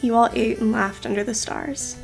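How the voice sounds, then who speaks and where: A woman's voice: a little high, fairly even intonation, normal speed, vocal fry, rough recording. A woman game streamer narrating a playthrough, reading game text aloud and commenting on it. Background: game music.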